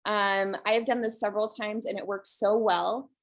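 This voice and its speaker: Calm, soft-spoken woman presenting on a call. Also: medium pitch, moderate pace. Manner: relaxed, many ums, soft and calm. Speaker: guest presenter, shelter social media creator. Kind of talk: webinar presentation. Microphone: video call.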